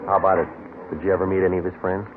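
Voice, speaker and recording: An actor playing a dogged police detective in a 1950s radio drama, clipped, deadpan and matter-of-fact. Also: male voice, low-pitched, rapid-fire, slight background noise, close to an everyday voice. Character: dogged, businesslike male police detective sergeant. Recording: tinny old radio recording, crowd chatter.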